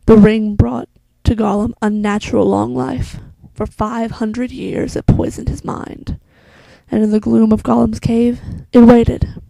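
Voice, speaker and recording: An ancient, solemn elf queen acted out by a woman delivers a fantasy prologue. The voice is fairly high but mellow and creaky, soft and hushed. Every phrase is grave and deliberate, recorded close and dry. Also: little pitch movement, no echo, rough recording. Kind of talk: slow dramatic fantasy monologue.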